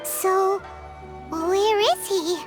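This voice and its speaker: A woman voicing a tiny, excitable, girlish companion creature in a video game, squeaky and easily frightened. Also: very slow, over loud music, heavily acted. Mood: uneasy.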